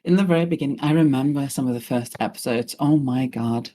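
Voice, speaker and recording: Low-voiced chatty woman from Norway. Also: a little fast, mellow, slight background noise. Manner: chatty, laughs easily, plugs her store. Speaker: podcast guest, streamer. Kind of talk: casual podcast chat.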